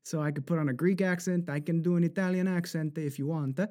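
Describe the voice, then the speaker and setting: A man with a New Jersey accent, talking as an animated voice actor guest who laughs often and slips into accent demos. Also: a little high, fast talker. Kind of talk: casual interview chat.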